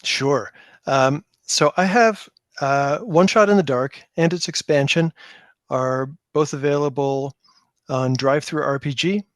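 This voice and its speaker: A man's voice: fairly high pitch, a little slow, fairly bright tone, no echo, clean studio sound. A man speaking casually as a guest in a podcast interview about his own work, with a self-deprecating manner. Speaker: podcast guest, tabletop game designer.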